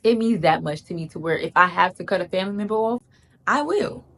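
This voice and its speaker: A woman's voice: on the low side, fast. Chatty woman in her thirties giving advice. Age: 30s. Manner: chatty and emphatic, calls viewers girl and baby; repeats lines for emphasis. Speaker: vlogger. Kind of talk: casual advice talk to camera.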